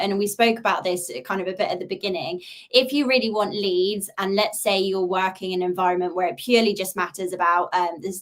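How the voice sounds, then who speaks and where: A woman speaking as a guest expert in a webinar, explaining her advice in an encouraging, conversational way. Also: mid-range voice.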